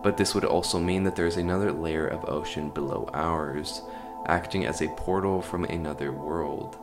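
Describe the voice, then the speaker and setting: A man's voice: extremely low pitch, normal speed. A man hosting a horror explainer video, giving scripted commentary with casual asides to his viewers. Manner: addresses viewers informally. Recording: music behind the voice.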